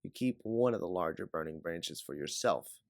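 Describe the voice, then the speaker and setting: Male narrator, lower-pitched, dramatic deliberate storytelling, scripted audiobook read, dry sound. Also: lively intonation, creaky, no echo.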